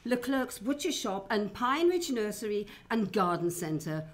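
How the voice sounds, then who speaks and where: A woman's voice: mid-range voice, big pitch swings, measured pace. A woman announcing and narrating in a theatrical, formal old-time radio show style.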